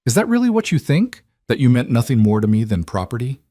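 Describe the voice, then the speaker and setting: A man's voice: mid-range voice, sing-song, quick pace, dark tone, no echo, clean studio sound, voice pushed up, close to an everyday voice. A man voicing a cold, commanding mafia boss with clipped, wounded fury in an audiobook drama. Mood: wounded anger.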